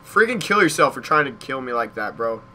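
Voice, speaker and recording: A young American man giving casual gameplay commentary in an excitable manner, repeating short phrases. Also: medium pitch, brisk, a little hiss or hum. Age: young adult. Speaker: gaming YouTuber. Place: small bedroom at home.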